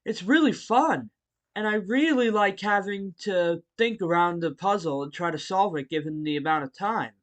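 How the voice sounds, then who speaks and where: A man voicing a friendly, bookish martial-arts hero and teacher in a fan audio roleplay, speaking politely to the listener with hesitant pauses. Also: high voice, lightly acted. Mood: keen, friendly. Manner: polite, chuckling, hesitant pauses between phrases.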